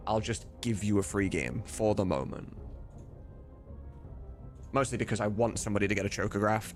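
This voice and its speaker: Low-voiced man chatting casually, lively pitch. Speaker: livestreamer and game modder. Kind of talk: casual chat while working.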